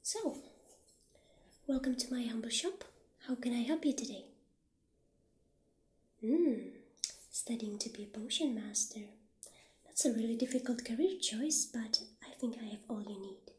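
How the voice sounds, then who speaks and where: Slow, soft-spoken witch shopkeeper played by a woman. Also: on the high side, natural voice. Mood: welcoming. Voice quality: soft, breathy, half-whispered. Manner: gentle, hesitant, halting delivery. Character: friendly, humble witch shopkeeper selling magic wares. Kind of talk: soft-spoken ASMR roleplay. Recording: roomy echo, clear background noise.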